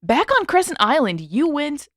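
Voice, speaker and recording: A woman's voice: high-pitched, very animated, normal speed, fairly bright tone, dry recording, clean studio sound, voice pushed up. A woman voicing a blunt, exasperated teenage boy in a dramatic story reading. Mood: questioning, blunt. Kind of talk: dramatic audiobook character reading.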